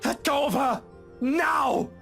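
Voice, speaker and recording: Man doing a high, frantic young husband. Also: slow, vocal fry, faint music behind, full character voice. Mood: pleading. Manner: pleading, then shouting in anger.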